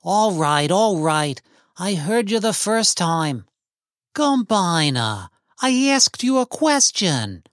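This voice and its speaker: Seeking an old immigrant wife: sharp tongue, Yiddish-American lilt, high animated delivery, storybook narration. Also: male voice, slow pace, no echo, clean studio sound, exaggerated voice. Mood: nagging. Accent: Yiddish-inflected American English. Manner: querulous, rhetorical questioning.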